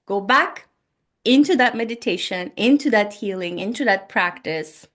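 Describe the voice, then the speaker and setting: Woman, slow unhurried delivery, middling pitch, earnest and gentle, repeats phrases for emphasis. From Turkey. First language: Turkish. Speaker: spiritual healer and podcast host. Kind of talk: spiritual monologue to listeners. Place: home. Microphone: microphone on a laptop.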